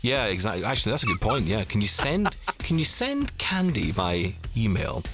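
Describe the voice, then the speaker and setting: A man's voice: on the low side, very animated, normal speed, over music. A Scottish man in his forties hosting a podcast, chatting casually and rambling with jokes. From Scotland. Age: 40s. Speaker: podcast host. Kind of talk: casual chat.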